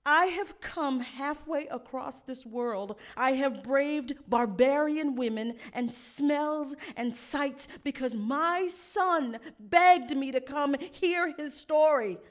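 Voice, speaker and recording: A woman's voice: sits high, slow, hardly any pauses. An actress playing a stern, disbelieving mother of a grown son in a live radio drama, with an imperious, declamatory delivery. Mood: indignant, commanding. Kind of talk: live stage radio drama, theatrical dialogue. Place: stage before a studio audience.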